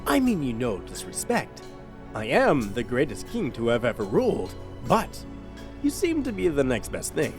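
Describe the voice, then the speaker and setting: A man's voice: mid-range voice, huge pitch swings, moderate pace, music in the background. An actor voicing a proud god-king who speaks in a formal, grandiose way.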